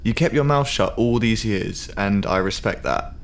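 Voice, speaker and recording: A man's voice: moderate pace, vocal fry. A man acting a guarded, respectful prison visitor in a radio drama. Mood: measured. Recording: faint rumbling background noise.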